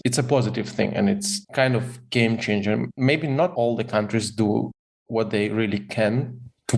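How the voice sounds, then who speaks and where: A young man, speaking English in a measured, casual way. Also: on the low side. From Ukraine. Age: young adult. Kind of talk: casual conversation.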